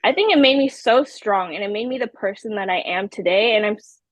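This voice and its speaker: A woman's voice: mid-range voice, fairly quick, dry recording. A woman who is a life coach, talking in a bubbly, chatty way as a podcast guest and laughing often. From San Jose, California. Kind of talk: casual interview chat.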